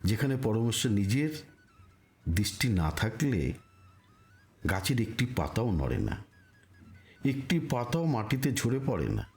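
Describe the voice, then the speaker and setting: A man delivering a scripted spiritual narration in a solemn, deliberate manner, with rhetorical pauses. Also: medium pitch.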